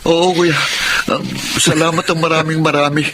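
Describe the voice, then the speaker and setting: An actor playing a grateful, affectionate college-age younger brother, hesitant and heartfelt, in a Filipino radio drama. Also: male voice, fairly high pitch, little pitch movement, normal speed, very noisy, lightly acted.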